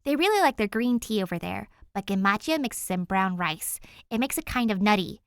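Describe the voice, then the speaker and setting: A woman's voice: mid-range voice, very animated, brisk, no room sound, clean studio sound. A woman voicing an eager, earnest and playful young girl in an audiobook story. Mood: eager, chatty.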